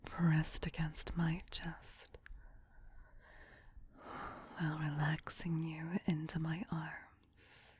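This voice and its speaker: A woman playing a doting, possessive vampire queen in an ASMR roleplay, whispering softly and soothingly in an intimate, coaxing manner. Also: low voice, monotone, drawn-out words, long pauses, a little hiss or hum. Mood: fond, seductive. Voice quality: breathy, soft whisper.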